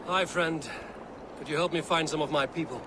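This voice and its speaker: An actor playing a blunt, steady male warrior in a video game, terse and deliberate. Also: on the high side, close to an everyday voice. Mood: purposeful. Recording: noisy outdoor game ambience.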